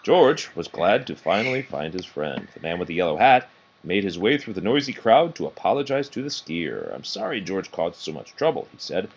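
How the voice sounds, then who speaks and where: A man reads a picture book aloud for children in a fairly low voice. His delivery is expressive and swings widely in pitch, and the recording is dull and muffled. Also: moderate pace, husky. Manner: expressive, animated storytelling. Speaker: storybook reader.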